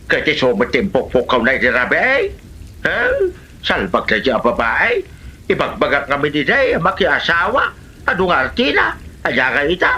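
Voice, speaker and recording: A woman's voice: rumbling low, very animated, moderate pace, bright, forceful tone, husky, full character voice. A woman with an Ilocano Filipino accent playing a gossipy, teasing village neighbour in an amateur comedy drama, full of questions and exclamations. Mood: gossipy, chiding.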